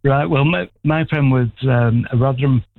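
A sixty-year-old man from Manchester rings in to a radio show and rambles warmly about his life. His voice sits at an ordinary pitch. It comes through a thin, tinny phone line. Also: normal speed, creaky, no echo. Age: 60s. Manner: warm, rambling, chatty. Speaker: caller. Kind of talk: casual phone-in chat. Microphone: telephone.

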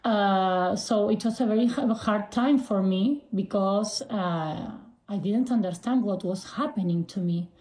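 A woman in her forties from Argentina, answering interview questions in a halting way, with frequent pauses and restarts. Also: medium pitch, dark tone, reverberant. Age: 40s. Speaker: guest.

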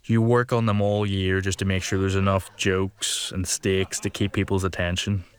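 A young man telling a stand-up comedy story to a live audience, with self-deprecating, deadpan asides. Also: low voice, moderate pace.

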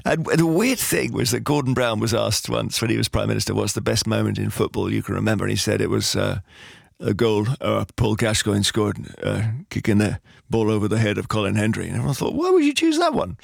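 A man's voice: fairly low pitch, lively intonation, a little fast, vocal fry, hardly any pauses, dry recording. A man hosting a radio phone-in, chatting conversationally from a studio. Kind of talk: conversational phone-in chat about football. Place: dry broadcast studio.

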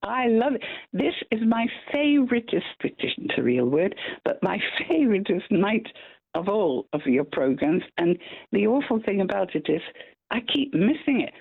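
Older Scottish woman caller, gravelly and husky, tinny phone line, effusive. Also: medium pitch, sing-song, moderate pace, no echo. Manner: chatty, effusive, laughs easily.

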